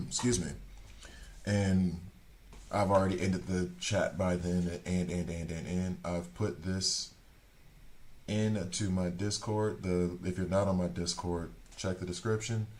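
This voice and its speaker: A man's voice: low-pitched, fairly even intonation, slow pace, darkish tone. A man streaming a game, chatting casually with viewers in a rambling, talkative way and reading chat aloud. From Switzerland. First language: not German. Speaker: gaming streamer.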